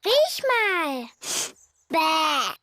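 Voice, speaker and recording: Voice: extremely high pitch, very animated, extremely slow, pushed and bright, dry recording, clean studio sound, full character voice. A performer voicing a cheerful, bossy little girl piglet in a cartoon, eager and childlike, with music behind the voice. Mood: eager, playful.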